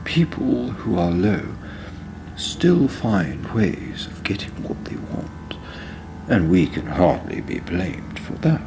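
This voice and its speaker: A man reading literary fiction as a disconsolate, dissolute old drinker, halting and deliberate, with a gravelly, breathy edge. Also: low-pitched, very animated, heavily acted. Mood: wry, disconsolate. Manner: slow, halting, deliberate with long pauses. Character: disconsolate, dissolute, low-living old village drinker narrating. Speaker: author reading own short story. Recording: clear outdoor ambience and vehicle noise. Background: field recordings of ambience and vehicles.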